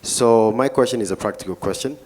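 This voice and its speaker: A man's voice: mid-range voice, a little fast, a little mellow, poor audio. A man from Zambia in the audience, asking a panelist a question politely and hesitantly, with many fillers.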